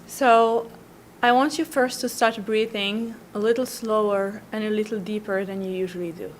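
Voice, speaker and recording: A woman guiding a breathing meditation slowly and soothingly, with long pauses between instructions. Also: medium pitch, steady tone, slight background noise. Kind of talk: guided meditation.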